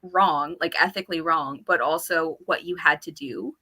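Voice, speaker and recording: A woman's voice: deep voice, normal speed. A woman co-hosting a casual book discussion, talking informally and animatedly, often acting out quotes as she goes. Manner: animated, informal, quotes characters and thoughts in talk. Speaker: co-host of a book discussion show.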